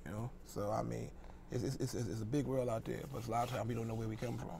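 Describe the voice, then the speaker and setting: A man's voice: mid-range voice, fairly even intonation, fast talker, vocal fry, background noise. A middle-aged man hosting a casual studio talk show, repeating and rephrasing himself as he goes. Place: small TV studio with curtains. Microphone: lapel mic.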